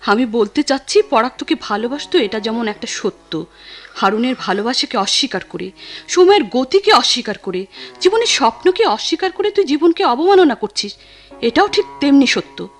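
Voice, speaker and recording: A woman's voice: high-pitched, sing-song, normal speed, faint music behind. A woman with a Bangladeshi accent playing an earnest, insistent close friend in a radio drama, persuasive and emphatic. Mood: urgent, scolding. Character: Jui, earnest, insistent close female friend urging sense. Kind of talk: Bengali radio drama acting.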